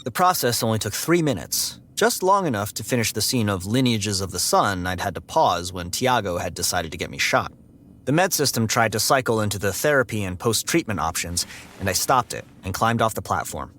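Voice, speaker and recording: A sardonic security android, narrates an audiobook drama in a lowish voice. The delivery is dry and guarded, yet the pitch moves around freely, with some clear ambient noise underneath. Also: male voice, brisk. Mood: dry, matter-of-fact. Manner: deadpan, dry, sarcastic. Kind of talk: first-person audiobook narration and dialogue.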